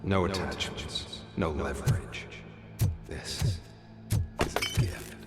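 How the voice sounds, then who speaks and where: A man playing a cold, calculating figure in a video game, speaking in a measured way. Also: deep voice. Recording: echoing reverb over music.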